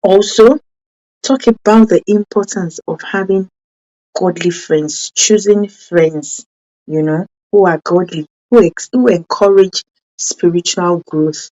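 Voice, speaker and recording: A woman's voice: slow pace, mellow, dull audio. Earnest woman, Yoruba-speaking. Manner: earnest, self-correcting, with sudden rises in pitch for emphasis. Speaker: parenting channel host.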